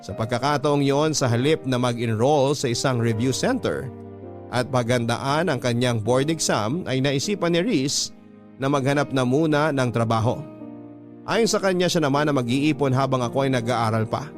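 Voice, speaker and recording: A man reading a scripted first-person letter as a radio drama narrator, in a measured storytelling manner. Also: mid-range voice, music in the background.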